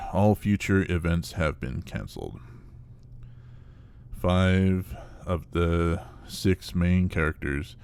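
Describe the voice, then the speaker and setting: An actor playing an official investigator who reads out an incident report in a formal, hesitant way for an audio horror drama. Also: male voice, low voice, vocal fry, a little mellow, smooth, exaggerated voice.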